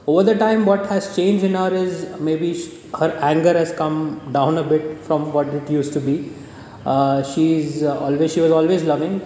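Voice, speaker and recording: A man's voice: on the high side, warm tone. A young man, the groom, talking in an interview about his bride, hesitant with many fillers and restarts. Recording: echoey room with faint hiss.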